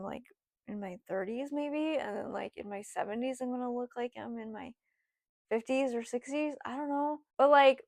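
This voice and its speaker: Young woman, twenties, casual vlog storytelling, rambling with fillers, slightly high voice. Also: no echo, studio quality. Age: 20s. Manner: rambling, lots of "like" and "you know", voice drops soft at times. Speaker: vlogger.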